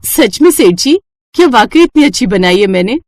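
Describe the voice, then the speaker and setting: A woman's voice: medium pitch, normal speed, no echo, clean studio sound, close to an everyday voice. Woman acting a humble, grateful poor mother. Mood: delighted. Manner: deferential, earnest. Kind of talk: acted dialogue in a children's moral story.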